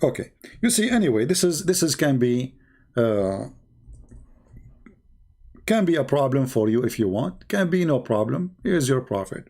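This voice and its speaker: A man's voice: medium pitch, very animated, normal speed, creaky, long pauses, a little mellow. A man with an Arabic accent hosting a heated call-in debate, bluntly repeating his questions and pressing the caller. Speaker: host of a live call-in debate.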